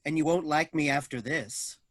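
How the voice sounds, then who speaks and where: A man's voice: a little high, normal speed, a bit bright. A man acting a polite but menacing robot in a staged play reading, making formal, mock-helpful announcements. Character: polite, menacing male robot in a boxy body.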